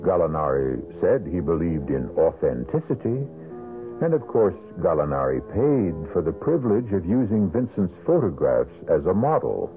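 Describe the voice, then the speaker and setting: A man's voice: low-pitched, very animated, normal speed. A man narrating a 1970s radio mystery as host, in an ominous, theatrical, rhetorical manner. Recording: tinny old radio recording with music.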